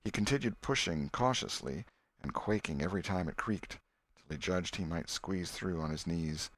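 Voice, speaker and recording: A man with an American accent narrating a classic novel as an audiobook in a measured storytelling manner. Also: low-pitched, a bit bright, no echo. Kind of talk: scripted literary narration.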